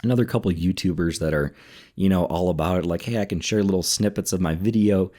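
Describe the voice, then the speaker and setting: A man's voice: sits low, fast, mellow. A man chatting as a guest on a podcast, opinionated and easygoing, laughing readily. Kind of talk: casual chat.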